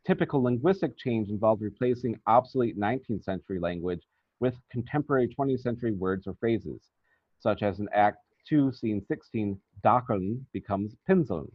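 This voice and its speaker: A thin, phone-like recording with very little room sound carries a man's voice. He reads a scripted academic paper in a formal, deliberate way, as a researcher presenting findings. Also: fairly low pitch, animated, no room sound. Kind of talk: scripted academic lecture narration. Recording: thin, phone-like band-limited audio.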